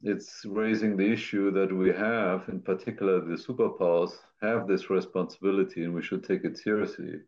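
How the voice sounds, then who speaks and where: Male artist in his fifties, German accent, fairly low voice, reflective conversation, muffled video-call sound. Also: fairly even intonation, normal speed, few pauses, darkish tone. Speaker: artist, interview guest. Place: indoor art studio, video call. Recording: slightly dull video-call audio.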